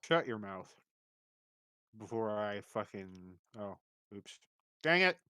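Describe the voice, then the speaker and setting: Bright-voiced man chatting while gaming. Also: lowish voice, expressive, takes it slowly, clear, resonant tone, no echo, clean studio sound. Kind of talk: casual gameplay stream chat. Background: game music.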